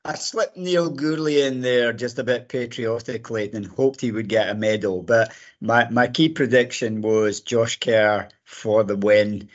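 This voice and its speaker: A middle-aged or older man with a slightly hoarse voice, co-hosting a podcast with casual sports analysis. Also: mid-range voice, steady tone, measured pace, dull audio. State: a bit hoarse. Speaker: podcast co-host. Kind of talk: casual sports commentary chat.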